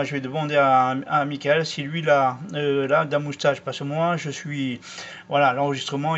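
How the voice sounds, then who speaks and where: A man's voice: medium pitch, a bit bright. A man chatting casually as a radio operator, hesitant, repetitive and polite. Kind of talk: casual radio contact chat. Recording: slightly dull sound, faint noise.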